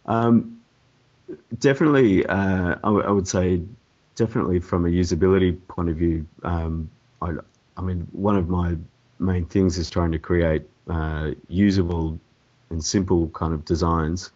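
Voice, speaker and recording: A man with a cold and a croaky, hoarse voice, answering interview questions hesitantly with many ums and restarts. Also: deep voice, steady tone, moderate pace, a little mellow. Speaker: template developer guest. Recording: dull lo-fi audio.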